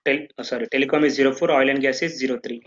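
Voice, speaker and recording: A man walks a class through software configuration, stopping often to check they follow with an "okay". His pitch sits in an ordinary middle range. The recording sounds muffled and lo-fi. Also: fairly even intonation, very fast. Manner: explanatory, full of "okay" checks and restarts. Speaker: software trainer. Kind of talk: live online training session.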